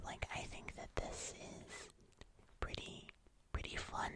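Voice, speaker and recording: An ASMR host speaking entirely in a whisper, casually and gently rambling through a hands-on show-and-tell. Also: low-quality recording. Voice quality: whispered, unvoiced.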